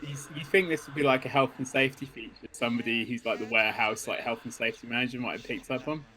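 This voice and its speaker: A man's voice: medium pitch, steady tone, fast, bright, forceful tone, poor audio. A man co-hosting a gaming video in casual banter, joking dryly and swearing now and then. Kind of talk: casual banter over gameplay.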